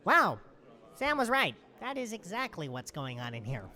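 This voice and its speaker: Casting: woman plays fretful, dry-witted worrier in a comedy audio drama, sharp tone, very animated delivery. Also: on the low side, moderate pace. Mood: wry. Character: fussy, anxious, sarcastic housemate of an adventuring gang.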